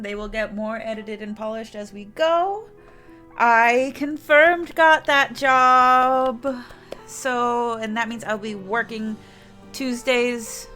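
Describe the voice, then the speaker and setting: A woman's voice: on the high side, pushed and bright. A woman streamer chatting casually to her viewers, rambling and often restarting her sentences. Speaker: game streamer.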